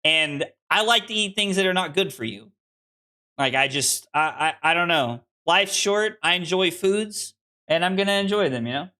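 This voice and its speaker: A bright, fairly high-voiced young man streams a card game and chats with his viewers. He is in his late twenties and rambles loosely in a self-deprecating way, often restarting his sentences. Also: animated, moderate pace. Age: 20s. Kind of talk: casual live-stream chat with viewers.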